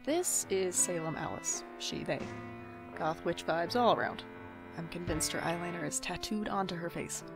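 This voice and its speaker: A woman's voice: medium pitch, gravelly. A woman playing a wry, hapless twenty-five-year-old in an audio drama, self-deprecating, with dry asides and an anxious streak. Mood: wry, chatty. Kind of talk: audio drama narration and dialogue.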